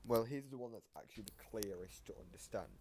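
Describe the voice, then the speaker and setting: A man giving casual, analytical reaction commentary, explaining things in a halting, rambling way that circles back on repeated phrases. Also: lowish voice, a little mellow, a little hiss or hum. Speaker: reactor explaining game voice lines.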